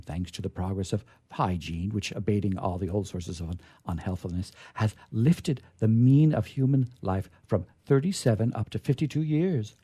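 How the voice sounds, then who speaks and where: A man giving a dramatic reading of vintage science fiction, theatrical and emphatic, with drawn-out phrases and pauses. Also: fairly low pitch, warm tone, slightly rough. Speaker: reader performing a literary reading.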